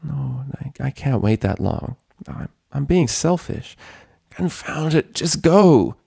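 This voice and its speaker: A man's voice: mid-range voice, sing-song, slow pace, vocal fry, mellow, breathy edge, dry recording, clean studio sound, voice pushed up, exaggerated voice. A man voicing a nervous, earnest young female pony with an anxious, self-doubting manner in a story.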